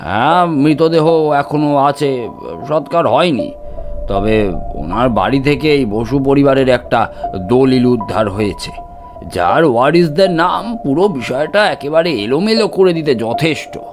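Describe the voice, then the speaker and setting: A man playing a police investigator in an audio drama, explaining a case in a measured, deferential way. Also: mid-range voice, lively intonation, natural voice. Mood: earnest, explaining. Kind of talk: Bengali detective audio drama acting. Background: music.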